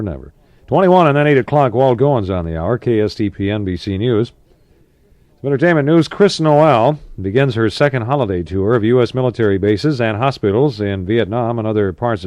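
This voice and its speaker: A man's voice: fairly low pitch, expressive, normal speed, a little mellow, no echo. An American man working as a 1960s AM radio DJ, reading announcements in a conversational announcer style with short asides. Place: radio studio.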